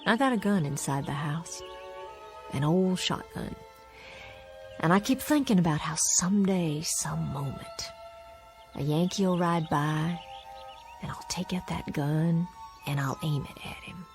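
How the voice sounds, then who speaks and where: An actress playing a bitter, coldly resolute war widow in a radio drama, with a brooding, deliberate, menacing delivery. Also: female voice, deep voice. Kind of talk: dramatic radio play acting. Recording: studio radio drama with music underneath.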